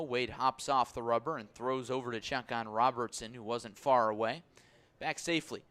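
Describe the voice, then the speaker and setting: A man calling baseball live on the radio as play-by-play announcer, measured between pitches and excitable on big plays. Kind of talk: live radio sports commentary.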